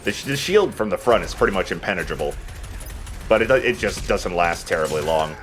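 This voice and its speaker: A man with a low-pitched voice hosts a let's play. He talks casually and conversationally about the game as he plays. Faint game noise runs behind his voice. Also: very animated, fairly quick, a bit bright. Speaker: let's play host and player. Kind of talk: casual gameplay commentary.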